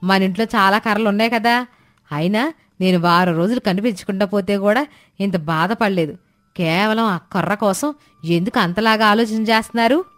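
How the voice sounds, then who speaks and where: A woman's voice: mid-range voice, moderate pace, faint music behind, close to an everyday voice. A woman playing a villager's nagging wife, scolding and insistent, in a moral story. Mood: scolding, irritated. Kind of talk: acted dialogue in a moral story.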